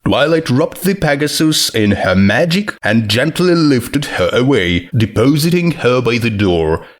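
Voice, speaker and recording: A man's voice: medium pitch, big pitch swings, a little slow. A man narrating a written comic story as a scripted audiobook-style reading. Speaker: narrator of fan fiction.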